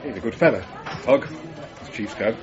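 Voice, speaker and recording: An actor playing a cheery, teasing police detective in a naturalistic radio drama, talking in a matey, joshing way. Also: male voice, mid-range voice, animated, normal speed, lightly acted. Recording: dull radio drama recording, pub crowd.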